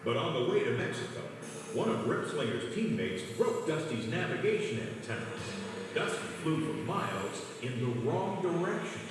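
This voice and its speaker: A man reading a children's read-along story as a scripted narrator. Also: fairly high pitch. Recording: echoey hall, distant, music behind. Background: music.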